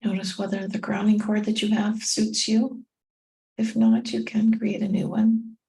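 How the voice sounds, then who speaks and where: Woman, meditation guide, slow delivery, warm relaxed tone, gentle and soothing, short phrases with long pauses. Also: mid-range voice, vocal fry, low-quality recording. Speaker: meditation guide and tapping coach.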